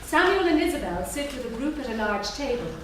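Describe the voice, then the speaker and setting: A woman narrates a script's stage directions at a live reading. Her voice is a bit high. She sounds far from the mic, in a very echoey theatre. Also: very animated, brisk, a bit bright. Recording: distant, noisy, echoey theatre recording.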